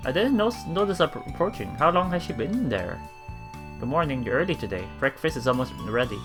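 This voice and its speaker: A man reading visual novel text aloud as a let's play host, with casual commentary and a halting delivery that repeats phrases. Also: mid-range voice, very animated. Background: game music.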